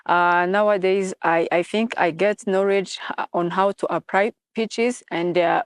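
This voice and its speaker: A woman's voice: on the low side, dry recording, clean studio sound. Hesitant young woman from Rwanda. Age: young adult. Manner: simple phrasing, hesitant. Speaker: freelance journalist. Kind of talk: testimonial interview.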